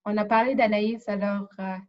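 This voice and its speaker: A woman's voice: medium pitch, steady tone, normal speed, dull audio. A woman moderating a community discussion, speaking spontaneously and hesitantly, often repeating words as she explains.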